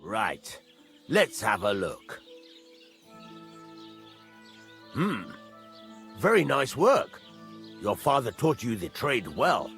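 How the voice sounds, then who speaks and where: Gruff master smith for a medieval game: demanding, instructive, forceful and highly animated, over music. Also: male voice, takes it slowly, heavily acted. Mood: approving, instructive. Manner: instructive, blunt, swears mildly. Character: gruff, demanding village master blacksmith teaching a newcomer. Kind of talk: video game dialogue acting.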